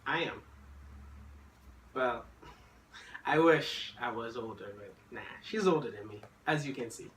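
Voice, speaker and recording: A man's voice: medium pitch, lively intonation, a little slow, pauses often, a bit bright. Man, 20s, from Haiti; joking, easygoing guest, echoey room with faint noise. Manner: joking, teasing, laid-back. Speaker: guest, college student. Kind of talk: casual chat.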